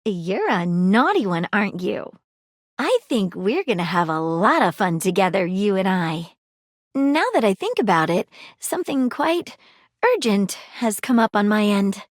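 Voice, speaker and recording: A woman's voice: medium pitch, sing-song, a little slow, dry recording, clean studio sound. A woman voicing an earnest female detective who is a household object in a dating game, with formal, precise phrasing. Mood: flirty. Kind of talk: game dialogue clips. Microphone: studio booth mic.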